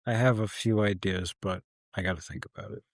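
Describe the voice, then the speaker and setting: A man's voice: lowish voice, steady tone, brisk, dry recording. A man co-hosting a podcast, talking casually in a blunt, dry, sarcastic way. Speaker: podcast co-host. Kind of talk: casual chat.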